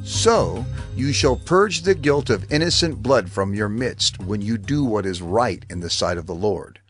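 A man narrating scripture in a measured, formal way for an audio drama. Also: fairly low pitch, animated, husky. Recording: studio narration over music.

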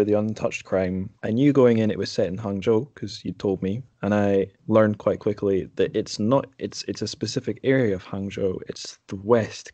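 A man hosting a podcast, discussing books casually in a halting, tentative way. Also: fairly low pitch, warm tone, no room sound. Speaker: podcast host.

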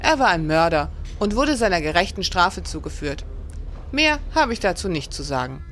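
A woman's voice: fairly low pitch, very animated, moderate pace, fairly bright tone, faint music behind. A woman playing a plain-spoken, guarded innkeeper of about forty in a historical radio play. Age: middle-aged.

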